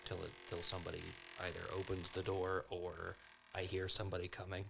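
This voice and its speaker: A man's voice: sits low, completely flat delivery, fairly quick, vocal fry, noisy background. A man chatting casually as a player in an improvised tabletop game.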